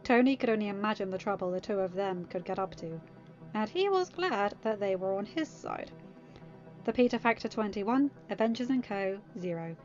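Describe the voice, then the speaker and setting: A woman giving an expressive scripted narration of a story, as a podfic reader. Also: medium pitch, normal speed. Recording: slightly dull recording. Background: music.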